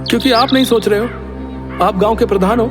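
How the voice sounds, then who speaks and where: Man doing a high-pitched timid village boy. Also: fast, music in the background, close to an everyday voice. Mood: pleading. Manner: whiny, fretful protesting. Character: timid, panicky village boy, the chief's son.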